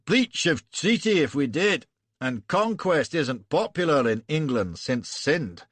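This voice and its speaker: A man doing a high, arrogant Scottish officer. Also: sing-song, unhurried, a bit bright, no room sound, heavily acted. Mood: grim. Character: high, arrogant male Scottish frontier agent.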